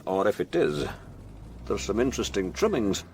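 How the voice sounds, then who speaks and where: Weary police boss in a radio drama, lowish voice, dry and sardonic. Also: male voice, big pitch swings, moderate pace, darkish tone, slight background noise. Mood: weary, thoughtful. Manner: sardonic, authoritative, understated. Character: dry, weary senior police detective in charge.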